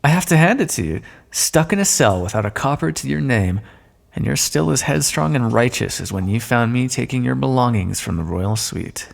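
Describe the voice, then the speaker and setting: A man playing a smug, sardonic thief in an audio roleplay, speaking with mocking mock-courtly politeness and drawn-out emphasis in a long echo. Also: medium pitch, expressive, gravelly. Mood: gloating. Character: smug, sardonic male thief, gloating at a fallen monarch. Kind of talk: scripted audio roleplay, theatrical monologue. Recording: long echoing reverb.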